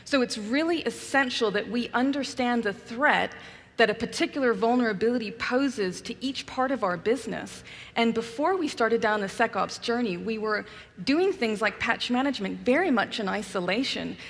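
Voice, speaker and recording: A woman's voice: fairly high pitch, lively intonation, moderate pace. A woman with a New Zealand accent, a guest speaker being interviewed on a conference stage, talking in a composed, businesslike way. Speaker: customer guest on keynote stage. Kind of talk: onstage interview. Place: large conference hall stage. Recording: long hall reverb.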